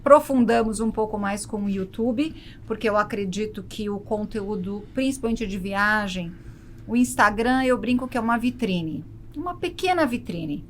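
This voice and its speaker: A woman's voice: mid-range voice, lively intonation, moderate pace. A Brazilian woman speaking conversationally as an interview guest, explaining her work. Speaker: podcast guest, travel presenter. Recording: faint background noise and ambience.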